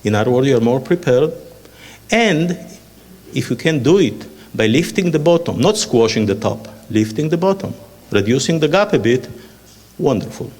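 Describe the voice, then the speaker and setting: A man in his sixties with an Israeli accent, giving a measured lecture with dry asides as a panel speaker. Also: mid-range voice, animated, a little mellow. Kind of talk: public lecture with slides. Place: lecture hall. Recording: long hall reverb.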